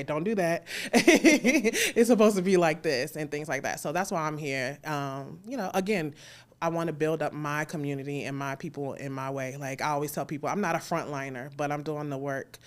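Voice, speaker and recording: A woman speaking casually and candidly as an interview guest, informal and quick to laugh. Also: deep voice. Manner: candid, informal, laughs easily while talking. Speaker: interview guest, audio drama creator and sound designer. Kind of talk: casual conversational interview at a live event.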